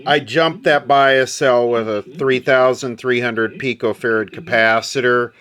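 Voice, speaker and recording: A man's voice: medium pitch, steady tone, measured pace, a bit bright. A man giving unscripted hands-on repair commentary, muttering short remarks as he thinks aloud. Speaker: radio repair hobbyist and video host.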